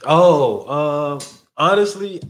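A young man from Nigeria whose first language is Yoruba, chatting casually as a guest on a studio talk show and reading viewer comments with a candid, relaxed manner, speaking into a studio mic on a stand. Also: a little high, takes it slowly. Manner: relaxed, candid, repeats phrases. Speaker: podcast guest. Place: studio set.

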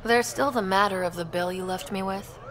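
An actress playing a dry, cocky young space explorer in a video game, terse and self-assured. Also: female voice, medium pitch, moderate pace, a crowd in the background, lightly acted. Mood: wry. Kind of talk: video game dialogue acting. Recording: roomy echo, faint background noise.

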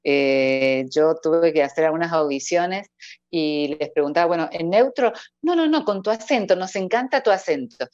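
Low-voiced Argentine woman on a video call. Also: expressive, moderate pace, no room sound. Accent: Cordobés Argentine Spanish. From Córdoba, Argentina. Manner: laughs often, warm sing-song lilt. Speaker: voice-over artist guest. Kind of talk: casual interview over video call. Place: indoor room at home. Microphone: earphone headset on video call. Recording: dull, dry video-call audio.

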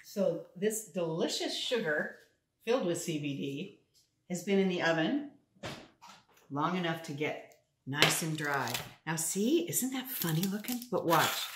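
A woman in her fifties hosting a casual cooking demo in her home kitchen, talking upbeat and straight to viewers. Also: fairly low pitch. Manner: chatty, upbeat, addresses viewers directly. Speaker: cooking show host. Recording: echoey kitchen, faint noise.

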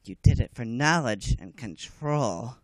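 A man's voice: mid-range voice, expressive, a little slow, no room sound, poor audio. A man in an anime fan dub playing a coldly self-justifying alchemist, smug and taunting. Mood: cold, resigned.

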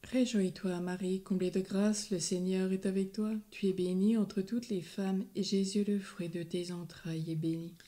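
A woman leading a recited rosary, speaking in a slow, steady, prayerful way. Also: medium pitch. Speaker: prayer leader.

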